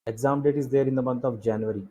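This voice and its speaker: A man's voice: mid-range voice, fairly even intonation, fast talker, warm tone. A man with an Indian accent explaining slides as a coaching instructor, repeating key points and asking rhetorical questions. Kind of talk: explanatory lecture over slides.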